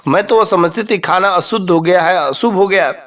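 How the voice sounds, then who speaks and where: A devoted old village mother played by a male storyteller in a folk tale, explaining herself earnestly and pleading with her sons. The voice is fairly high and a bit off the mic. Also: brisk, fairly bright tone, recorded from a distance. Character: devoted, trusting elderly mother with weak eyes.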